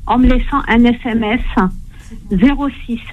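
A woman's voice: on the high side, steady tone, moderate pace, soft background music. A woman calling in to a radio show. Her voice comes through a muffled phone line that sounds like a cave. She talks in an earnest, apologetic way and hesitates often. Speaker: caller, home care agency manager.

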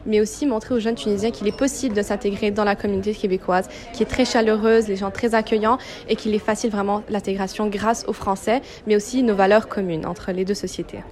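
A woman's voice: mid-range voice, steady tone, quick pace, few pauses, a little mellow. Young woman explaining in an interview. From Quebec, Canada. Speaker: youth organization representative being interviewed.

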